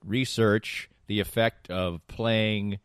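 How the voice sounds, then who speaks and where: A man's voice: fairly low pitch, fairly even intonation, takes it slowly, clear, resonant tone, no room sound, clean studio sound. A middle-aged man co-hosting a podcast, telling stories in casual banter with a rambling, emphatic delivery. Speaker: podcast co-host.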